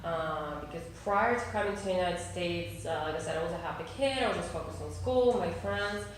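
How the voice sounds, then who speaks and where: A woman in her thirties who grew up in Russia and Armenia, with Russian as her first language, telling her life story in a casual interview with many pauses and restarts. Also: on the low side, heavy reverb, far from the mic, rough recording. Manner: hesitant, many fillers and restarts, frequent laughs. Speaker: interview guest.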